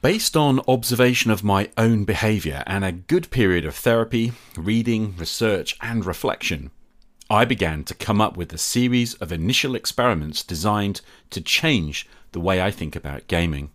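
A man hosting a podcast, reading a measured, candid and self-critical monologue. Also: fairly low pitch, lively intonation, fairly bright tone. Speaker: podcast host. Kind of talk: reflective scripted monologue.

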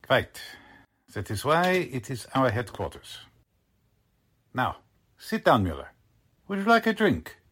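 A man acting a scheming, haughty military officer and spymaster in a 1940s-style radio drama, with an imperious, theatrical, deliberate manner. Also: mid-range voice, wildly swooping pitch, fairly bright tone, husky, full character voice. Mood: brisk, commanding.

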